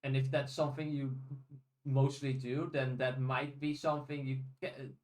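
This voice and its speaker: A man's voice: medium pitch, level tone, moderate pace, darkish tone, echoey room. A man co-hosting a podcast in casual conversation, often repeating words as he starts his sentences. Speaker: podcast co-host. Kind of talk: casual discussion of audiobooks.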